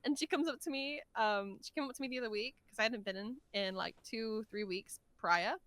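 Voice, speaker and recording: A woman's voice: a little high, fairly quick, fairly bright tone, no room sound. A woman chatting casually and effusively as a podcast guest, with a giggly, talkative manner. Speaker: guest voice actor.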